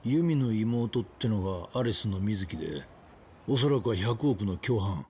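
An actor playing a gruff, world-weary middle-aged detective in a video game cutscene, matter-of-fact in delivery. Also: male voice, on the low side, lively intonation, a little slow, darkish tone, a little hiss or hum. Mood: serious, cautioning. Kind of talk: Japanese game cutscene acting.

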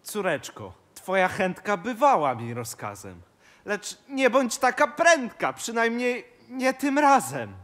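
A man's voice: high voice, wildly swooping pitch, takes it slowly, a bit bright, exaggerated voice. A man playing a doting, indulgent older king and father in a rhymed fairy-tale audio play. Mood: cautioning.

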